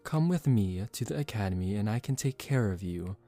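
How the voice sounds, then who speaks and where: A man voicing a suave, condescending young male vampire in a soft-spoken ASMR roleplay, calm and teasing. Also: fairly low pitch, a little fast. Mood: smug, persuasive. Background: relaxing background music.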